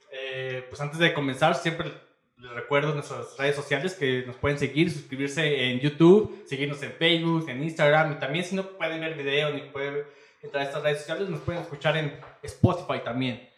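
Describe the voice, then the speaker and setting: A man's voice: fairly high pitch, moderate pace, echoey room. A man hosting a science podcast, greeting viewers and asking chatty, friendly questions. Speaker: podcast host. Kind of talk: casual interview hosting.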